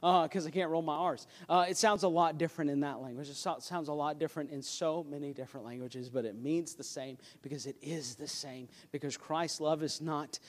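A man's voice: fairly high pitch, fairly quick, few pauses. A man preaching a sermon as pastor, earnest and emphatic, with a self-deprecating touch. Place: church sanctuary. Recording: long hall reverb.

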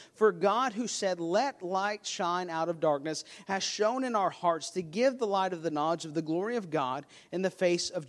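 A man preaching a sermon as pastor, earnest and emphatic, with a self-deprecating touch. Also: high-pitched. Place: church sanctuary. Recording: long hall reverb.

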